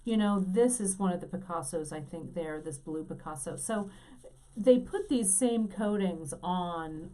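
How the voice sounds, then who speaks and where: A woman's voice: fairly low pitch, a little slow, mellow. A woman co-hosting a casual beading demonstration, talking enthusiastically with lots of fillers. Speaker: co-host. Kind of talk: casual chat, craft demonstration.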